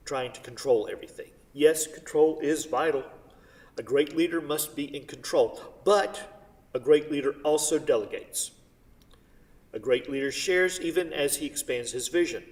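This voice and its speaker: A man acts out a wealthy father who wants to control things and is full of regret. He speaks in a mid-pitched voice as if in a staged drama. The sound is distant, with the long echo of a hall. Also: a little slow, natural voice. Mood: persuasive. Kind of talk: staged play reading. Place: theatre hall. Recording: distant mic in echoey hall.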